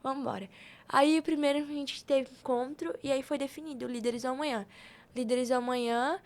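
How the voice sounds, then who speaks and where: A young adult woman with a Brazilian Portuguese accent, telling stories casually on a podcast in an animated, informal way. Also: sits high, brisk. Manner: animated, informal, acts out quoted speech. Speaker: podcast guest, youth institute member.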